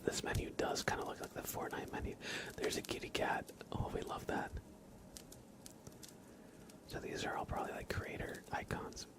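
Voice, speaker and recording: A man hosting an ASMR livestream, chatting casually and gently with viewers in a soft, breathy whisper. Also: moderate pace, pauses often. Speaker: live ASMR streamer and host.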